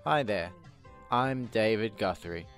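A man playing an earnest, slow-witted host of an instructional video, explaining things in repetitive circles. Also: lowish voice, fairly bright tone, faint music behind, pitched below the performer's own voice. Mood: earnest, upbeat. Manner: repetitive, circular, over-explaining.

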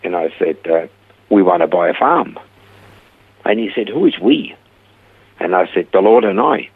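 Gravelly older man with a Swiss accent. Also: low-pitched, normal speed, narrow, tinny audio. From Switzerland. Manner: animated storyteller acting out quoted dialogue. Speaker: guest telling his life story. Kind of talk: casual storytelling interview.

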